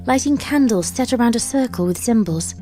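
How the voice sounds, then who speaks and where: A woman reading a horror fan story aloud in steady scripted narration. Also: fairly high pitch, brisk, music in the background.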